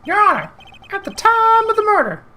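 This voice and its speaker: A woman's voice: extremely high pitch, huge pitch swings, fairly quick, sharp tone, slight background noise, voice pushed up, full character voice, rough recording. A woman voicing a smug, formal male prosecutor in a video game, with a theatrical delivery.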